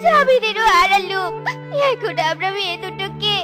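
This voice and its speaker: Woman as steadfast cartoon widow, very high voice, emphatic, emotional, village mother, music behind. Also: animated, normal speed. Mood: grieving, desperate. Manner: dramatic, emphatic. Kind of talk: children's cartoon voice acting. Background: children's music.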